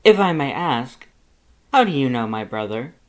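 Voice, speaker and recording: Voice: medium pitch, big pitch swings, moderate pace, lightly acted. A narrator voicing a bombastic, vain, falsely sweet male skeleton in a pompous, formal, theatrical manner. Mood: politely probing.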